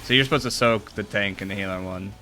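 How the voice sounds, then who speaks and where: A man's voice: fairly low pitch, fast talker, bright, forceful tone. A man chatting casually with his group while playing an online game. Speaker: gamer in a group raid. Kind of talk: casual chat during online gameplay. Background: faint video game music.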